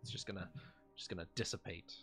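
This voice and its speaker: A man's voice: low voice, steady tone, quick pace, fairly bright tone, slightly rough. A man speaking casually as the game master of a tabletop roleplaying session. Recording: music behind the voice.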